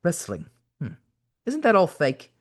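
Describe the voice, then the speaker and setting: A man voicing a polite, fussy old hotel clerk with a soft English accent, in an audiobook. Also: fairly high pitch, huge pitch swings, unhurried, voice pushed up, full character voice. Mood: doubtful. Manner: polite, apologetic.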